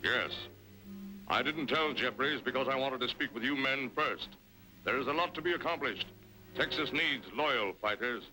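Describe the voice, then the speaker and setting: An actor playing a bold Texan fighter in an old-time western serial, firm and earnest. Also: male voice, medium pitch, normal speed, bright, forceful tone, poor audio. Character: steadfast, bold male Texan fighter and cowboy hero. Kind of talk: 1930s-40s western serial acting. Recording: vintage radio recording with music.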